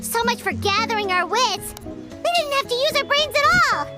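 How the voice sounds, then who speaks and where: Tiny floating fairy companion for a game: childlike, squeaky, very animated, excitable, music underneath. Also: female voice, normal speed. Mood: puzzled, let down. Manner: exclaiming, speaks of self in third person. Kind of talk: video game voice acting. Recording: loud background music.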